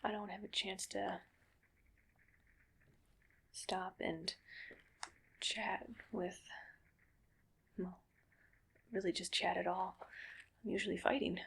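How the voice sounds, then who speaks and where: A woman playing a gentle, weary cyborg soldier in a whispered ASMR roleplay, speaking breathily in slow, halting, soothing phrases. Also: medium pitch, flat delivery, creaky, slight background noise. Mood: soft, reflective.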